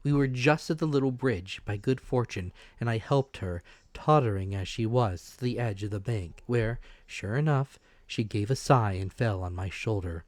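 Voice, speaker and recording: Male audiobook narrator: low-pitched, dramatic scripted delivery, dry sound. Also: normal speed, few pauses, a little mellow, dry recording.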